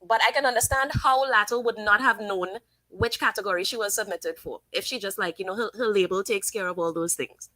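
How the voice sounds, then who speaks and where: Woman streamer, bright and fairly high, close dry mic, opinionated live chat. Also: brisk, no room sound, rough recording. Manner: chatty, opinionated, thinks aloud with fillers. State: sniffly from sinus trouble. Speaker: livestream host. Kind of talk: casual live commentary reading chat.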